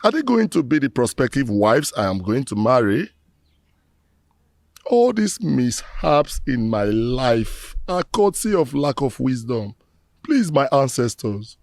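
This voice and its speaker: A man playing a gravelly remorseful supplicant. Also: mid-range voice, sing-song, unhurried, darkish tone, no echo. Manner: lamenting, proverbial, pleading. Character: remorseful middle-aged man pleading with ancestors.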